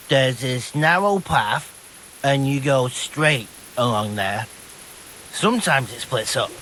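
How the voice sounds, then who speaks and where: Slow, rambling simpleton young bandit. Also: male voice, mid-range voice, pushed and bright, slight background noise, heavily acted, low-quality recording. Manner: rambling, hesitant, simple-minded, colloquial. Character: dim-witted young man, rambling, easygoing petty deserter bandit. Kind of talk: video game dialogue acting.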